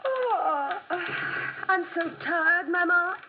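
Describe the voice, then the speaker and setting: An actress playing a tender, excitable young daughter in a melodramatic 1940s radio drama. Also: female voice, medium pitch, fairly quick, close to an everyday voice. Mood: tired, dreamy. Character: young Frenchwoman Camille, tender daughter turning terrified. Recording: noisy muffled 1940s radio recording.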